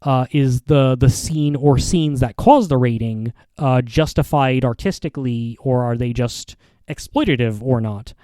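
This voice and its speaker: A man hosting a podcast, giving casual opinion commentary in a halting, rambling way with repeats and self-corrections. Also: medium pitch, dark tone, clear, resonant tone, dry recording. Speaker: podcast host.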